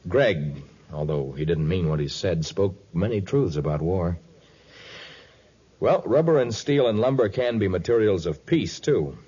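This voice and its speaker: A man playing a calm, commanding jungle hero in an authoritative, deliberate 1950s radio adventure style. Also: sits low, breathy edge, heavily acted. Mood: grave, assured. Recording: dull vintage 1950s radio recording.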